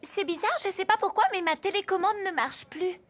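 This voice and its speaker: A woman's voice: very high-pitched, a little fast. A woman voicing a bold, crafty young girl with a theatrical, emphatic delivery in French cartoon dubbing.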